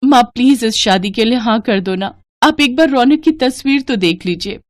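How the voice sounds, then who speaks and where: A woman's voice: fairly high pitch, moderate pace, no room sound, voice pushed up, lightly acted. A woman voicing an earnest young married daughter in a story, with an emphatic, pleading manner. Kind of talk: Hindi moral-story cartoon voice acting.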